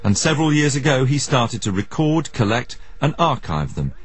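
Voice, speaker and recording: A man's voice: medium pitch, big pitch swings, moderate pace. A man presenting a radio documentary, narrating and asking questions in a dramatic storytelling manner.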